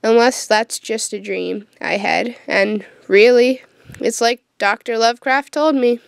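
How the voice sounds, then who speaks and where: A young woman playing a sardonic, troubled teenage witch in an audio drama, with a wry, deadpan manner. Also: on the high side, a little slow, vocal fry, clear, resonant tone, dry recording. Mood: troubled, confiding. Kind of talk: audio drama acting with first-person narration.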